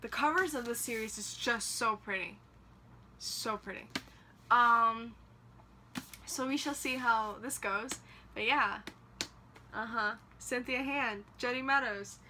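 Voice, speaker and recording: Bright-voiced young woman rambling about books. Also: fairly high pitch, slow pace, long pauses, a little hiss or hum. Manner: rambling, chatty, lots of fillers. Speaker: book vlogger. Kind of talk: casual chat to camera. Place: small room in front of bookshelves, indoors.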